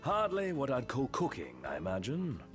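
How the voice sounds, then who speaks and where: A man's voice: medium pitch, huge pitch swings, normal speed, soft background music. A man voicing a refined, wry young royal retainer in a video game, articulate and courtly with a British accent. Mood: gently wry. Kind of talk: video game cutscene voice acting.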